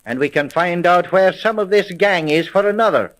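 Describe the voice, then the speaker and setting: Casting: a wary, quick-thinking American police sergeant for 1930s radio mystery, higher-pitched male voice, clipped orders and street slang. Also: lively intonation, fairly bright tone. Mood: urgent, commanding. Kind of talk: 1930s radio detective drama acting.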